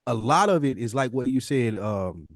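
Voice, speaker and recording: Man, American accent, mid-pitched, dry recording, casual podcast talk, slangy, emphatic. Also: lively intonation, normal speed, dry recording. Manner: emphatic, slangy, acts out imagined dialogue. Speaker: podcast co-host. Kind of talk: casual conversational podcast discussion.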